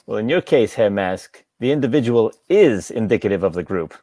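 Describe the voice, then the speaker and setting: A man's voice: fairly low pitch, animated, brisk, mellow, no echo. A man playing a polite, hesitant, lovelorn lodger in a table read of a stage comedy. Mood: polite, wry.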